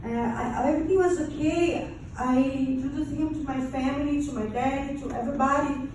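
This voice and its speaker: Echoey, halting Brazilian woman, high voice. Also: fairly even intonation, darkish tone. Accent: Brazilian Portuguese. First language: Portuguese. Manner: halting, with non-native English phrasing. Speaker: survivor giving testimony. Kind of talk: personal testimony to an audience. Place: large echoey room, before an audience. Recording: very echoey room, distant mic, faint noise.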